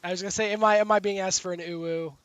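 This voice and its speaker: A man with a high-pitched voice, hosting a game stream in casual chat and acting out the people in his stories. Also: rapid-fire, smooth, no room sound, highs cut off. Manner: animated storyteller, acts out the people in his stories. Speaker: streamer host. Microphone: voice chat call.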